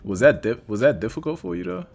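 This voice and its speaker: A man's voice: medium pitch, big pitch swings, quick pace, gravelly, soft background music. A man interviewing a guest in casual conversation, friendly and affirming. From Cameroon. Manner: friendly, affirming, lots of okays.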